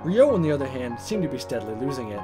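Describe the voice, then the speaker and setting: A man reading visual novel text aloud as a let's player, in expressive narration. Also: medium pitch, quick pace. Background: game music.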